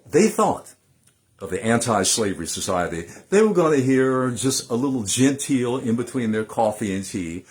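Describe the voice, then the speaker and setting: American man, slow deliberate delivery, widely swooping pitch, emphatic oratory, theatrical pauses. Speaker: political analyst giving a dramatic speech reading. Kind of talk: oratory and dramatic reading of a historic speech.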